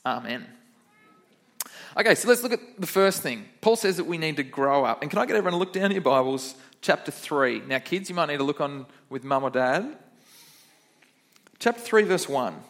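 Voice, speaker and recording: A young man with a fairly high voice leads a children's sermon in church. He is upbeat and chatty, and he keeps stopping to quiz the kids in the room. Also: expressive, moderate pace, a bit bright. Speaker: kids pastor.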